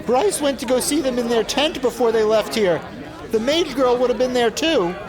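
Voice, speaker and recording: Eager soldier, extremely high male voice, lively intonation, crowd behind. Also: moderate pace. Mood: eager, suspicious. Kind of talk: fantasy audio drama acting.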